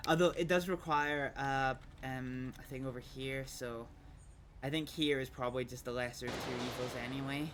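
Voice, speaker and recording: A Scottish man streaming a city-building game, thinking aloud in a rambling way with many pauses and ums while he chats with viewers. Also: medium pitch, fairly even intonation, noisy background. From Scotland. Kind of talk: casual gameplay livestream commentary.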